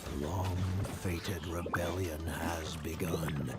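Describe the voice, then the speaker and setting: A cold, commanding villainous man from a fantasy game. He speaks deep and slowly, with portentous weight, under loud music. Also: steady tone. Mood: ominous, persuasive. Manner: portentous, deliberate. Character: ominous, commanding man, cold tempter of rebellion. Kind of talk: dramatic video game voice acting.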